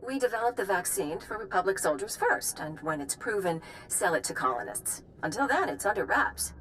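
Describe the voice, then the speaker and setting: A woman's voice: medium pitch, big pitch swings, fairly quick, a bit bright, slight background noise, poor audio. An actress playing a pragmatic, wry woman scientist explaining her work in a video game. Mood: businesslike, resigned. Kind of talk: acted video game dialogue.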